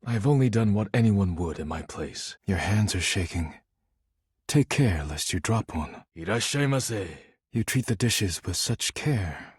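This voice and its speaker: Game role: proud, duty-bound spirit of the fine china. Low, formal, deadpan, very dry close recording. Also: male voice, a little slow, husky, dry recording, full character voice. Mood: calm, courteous. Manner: formal, clipped, deadpan, disdainful. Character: stern, proud, formal male dishware spirit devoted to duty. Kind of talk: scripted video game character acting.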